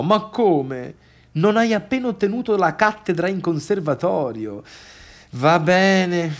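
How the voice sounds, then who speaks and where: An actor playing a bitter, needy, boastful failed pianist in a halting theatrical radio monologue that swings between murmurs and outbursts. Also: male voice, a little high. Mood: goading, mock cheerful. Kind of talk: radio theatre monologue, addressing imagined listeners.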